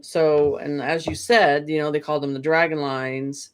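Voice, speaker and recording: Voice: medium pitch, level tone, a little slow, clear, resonant tone. A guest in a casual spiritual conversation, rambling along with lots of "you know" and restarts.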